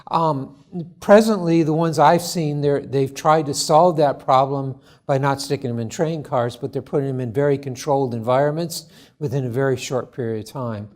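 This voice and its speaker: A man presenting his startup at a conference forum, answering judges' questions in a halting, earnest way full of restarts. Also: medium pitch, darkish tone. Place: conference hall.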